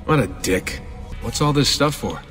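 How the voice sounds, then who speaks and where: Game role: actor as earnest young guy, hesitant, everyday mid-range voice, clear music bed. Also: mid-range voice, expressive, moderate pace, a bit bright, close to an everyday voice. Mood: wary. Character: earnest, uneasy young American man, reluctant assassin. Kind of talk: video game cutscene acting.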